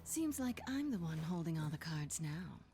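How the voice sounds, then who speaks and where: Taunting pirate huntress, swooping pitch. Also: female voice, sing-song, normal speed. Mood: cool taunting. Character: confident, taunting female pirate bounty hunter. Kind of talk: animated cinematic voice acting. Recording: loud music over the voice.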